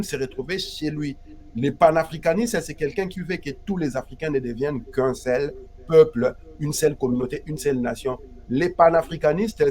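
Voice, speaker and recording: Man from DR Congo, emphatic, repeats his points, raised pitch, faint hiss behind. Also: darkish tone. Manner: emphatic, repeats key phrases. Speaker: guest activist. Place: Paris.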